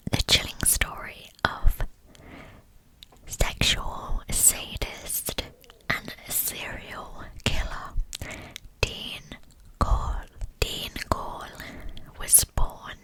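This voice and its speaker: A woman whispering in a hushed, soothing way as the host of an ASMR true crime channel. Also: poor audio. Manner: hushed, soothing delivery meant for sleep.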